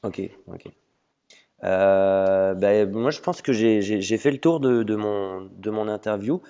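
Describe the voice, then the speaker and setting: A man's voice: fairly low pitch, takes it slowly, warm tone, smooth. A man hosting a casual interview over an audio call, asking questions with frequent hesitation sounds. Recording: lo-fi audio with a long reverb.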